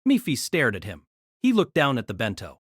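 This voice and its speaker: A narrator reading a novel aloud in an expressive storytelling manner. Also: medium pitch, a little fast, slightly rough, no room sound, studio quality.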